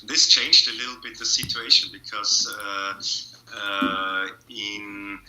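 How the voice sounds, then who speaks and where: A man from Austria, an artist, reminiscing over a video call, speaking haltingly with many restarts and fillers. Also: lowish voice, steady tone, pushed and bright, reverberant, slight background noise, poor audio.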